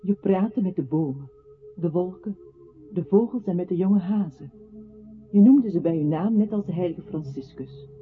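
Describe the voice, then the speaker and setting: An earnest narrator in a radio drama, reciting lyrically in a high-pitched man's voice. The recording is thin and phone-like, with some music behind. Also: lively intonation, moderate pace. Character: earnest, lyrical male narrator recounting a composer's life.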